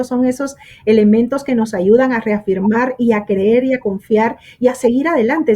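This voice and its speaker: A woman's voice: a little high, dark tone. A woman hosting a television talk show, speaking in long flowing sentences with some repeated words. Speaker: host.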